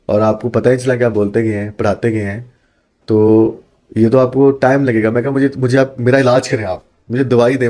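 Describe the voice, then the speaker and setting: A man's voice: medium pitch, mellow. A man from Pakistan, an accounting teacher, answering interview questions in an earnest, explanatory way and mixing in English phrases.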